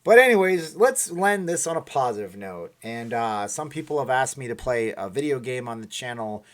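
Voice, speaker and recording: A worn-out man talks loosely on his livestream, thanking viewers and drifting between thoughts. His voice sits at an ordinary middle pitch, and he rambles with an emotional, unpolished edge. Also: sing-song, moderate pace. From Minnesota. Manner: tired, emotional and rambling, reading viewer names aloud. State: tired. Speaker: livestream host. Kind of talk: casual livestream chat reading comments.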